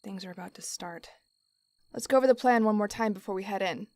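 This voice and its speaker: A woman's voice: medium pitch, a little fast, a little mellow, no echo, close to an everyday voice. Woman playing a tough, brusque gang leader. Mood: commanding. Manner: curt, commanding, sardonic. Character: tough, brusque, threatening female heist crew leader. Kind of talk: audio drama acting.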